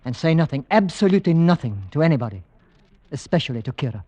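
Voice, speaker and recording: An actor playing a decisive husband who plans a risky hunt, brisk and commanding, in an old radio drama. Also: male voice, fairly high pitch, very animated, darkish tone. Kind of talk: 1940s radio acting. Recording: dull old radio recording.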